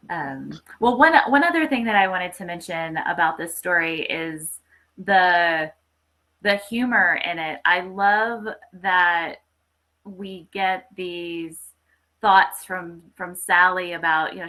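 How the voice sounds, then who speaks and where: Creaky lower-voiced woman hosting a podcast. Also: takes it slowly, bright, forceful tone, low-quality recording. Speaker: podcast host. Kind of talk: casual literary discussion.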